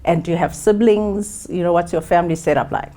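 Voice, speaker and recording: A woman with a notably low speaking voice hosts an interview podcast. She introduces her guest and asks her questions in a measured way, with soft affirmations. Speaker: podcast host. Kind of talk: interview conversation.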